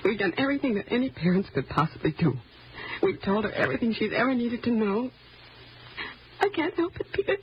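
A woman's voice: a little high, sing-song, fairly quick, a little hiss or hum. An actress playing a devoted, sentimental middle-aged wife and mother, earnest and theatrical, in old-time radio drama style. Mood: hurt, bitter. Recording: dull old radio recording, music.

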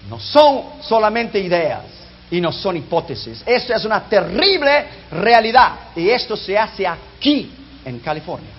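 A man preaching an emphatic sermon who learned his Spanish as a second language, dropping low and quiet at the ends of phrases. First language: not Spanish. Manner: emphatic, drops pitch and volume sharply at phrase ends. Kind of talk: fiery sermon. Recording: dull lo-fi sound.

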